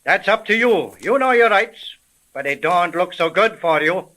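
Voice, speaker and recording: A hard-boiled police sergeant in an old radio serial. His voice is high and bright, and he gives orders in brusque slang with easy authority. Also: lively intonation, normal speed, low-quality recording. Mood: gruff, challenging. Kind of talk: 1930s radio drama acting.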